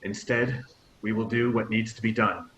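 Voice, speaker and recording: A man's voice: on the low side, fairly even intonation, moderate pace, fairly bright tone, dull audio, natural voice. A man playing a stoic starship captain. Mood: commanding. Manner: formal, speech-like address. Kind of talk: table read acting.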